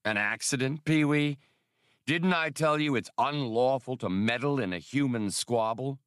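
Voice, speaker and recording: A man voicing a gruff, wry old one-eyed bull sea creature with folksy, clipped talk, in an audiobook story. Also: medium pitch, a little slow, fairly bright tone, no echo, clean studio sound, voice pushed up, lightly acted. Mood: wry, teasing. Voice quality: gurgling.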